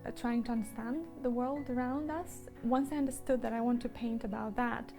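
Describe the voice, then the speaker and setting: A woman from Moldova reflects on her painting in a thoughtful, introspective tone. Her voice is a touch high and mellow rather than bright. Faint music plays behind her. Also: fairly even intonation, a little fast. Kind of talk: interview monologue.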